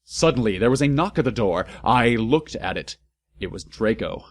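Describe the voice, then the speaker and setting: A man's voice: medium pitch, animated, moderate pace. A man doing a comic dramatic read-aloud, theatrical and exaggerated, breaking into amused asides. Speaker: narrator and host of a comedic fan fiction reading.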